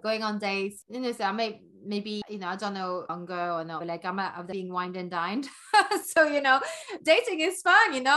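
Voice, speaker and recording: A woman in her forties, raised in Belgium, talks as a podcast guest. She rambles warmly through her answers, with many fillers, restarts and bursts of laughter. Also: mid-range voice. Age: 40s. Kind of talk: casual interview chat.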